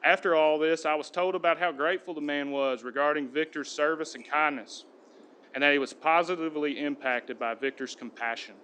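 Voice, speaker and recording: Earnest police sergeant reading a tribute. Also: male voice, fairly high pitch, fairly even intonation, a little fast, bright, forceful tone, clear, resonant tone. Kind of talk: prepared speech. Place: council chamber.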